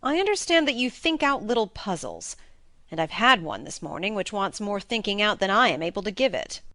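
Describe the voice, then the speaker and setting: Woman voicing a blunt young baronet, swinging pitch. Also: big pitch swings, fairly quick, fairly bright tone, no echo, clean studio sound. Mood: brisk, animated. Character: brisk, sturdy young baronet, blunt and puzzled. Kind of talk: audiobook character reading.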